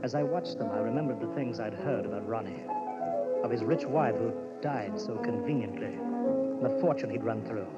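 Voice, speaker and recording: An actor playing a bitter, sardonic drunken husband in a mid-century radio melodrama, brooding and taunting. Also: male voice, fairly low pitch, normal speed, lightly acted. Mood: brooding, paranoid. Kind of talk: 1940s-50s radio drama acting with brooding narration. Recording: muffled vintage radio recording with music.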